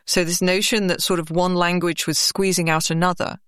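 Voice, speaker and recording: A woman hosts an interview and asks probing, enthusiastic questions. Her voice is smooth and clear, and the recording is dry and close-miked. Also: on the low side, flat delivery, a little fast, dry recording. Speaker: interviewer, host.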